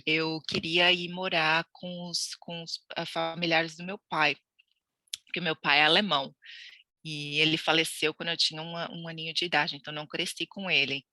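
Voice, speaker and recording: A woman's voice: low voice, level tone, moderate pace, sharp tone, dry recording. A woman from Brazil, a podcast guest telling her immigration story in a chatty, laughing way with many restarts. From Brazil (Santos). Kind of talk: casual interview chat.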